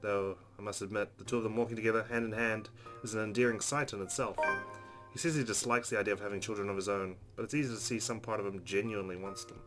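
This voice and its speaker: A man's voice: lowish voice, fairly even intonation, quick pace, music in the background. A man hosting a let's play, reading visual novel narration aloud and adding casual commentary.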